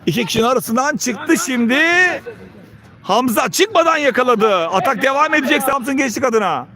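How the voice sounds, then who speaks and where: A man's voice: very high-pitched, expressive, normal speed, bright, forceful tone. A man doing live play-by-play football commentary in clipped phrases, calling out player names. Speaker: match commentator.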